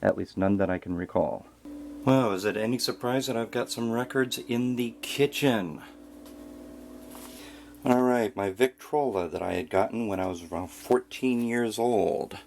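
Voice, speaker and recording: A man with a fairly low voice narrates a casual home tour. He presents as a collector showing things off, dropping wry, self-deprecating remarks as he goes. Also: a little slow, gravelly, a little mellow, a little hiss or hum. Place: indoors at home.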